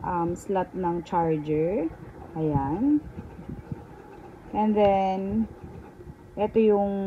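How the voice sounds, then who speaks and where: A woman's voice: on the low side, dull audio, slight background noise. A Filipino woman giving a casual hands-on product tutorial in Taglish, talking informally and directly to her viewers.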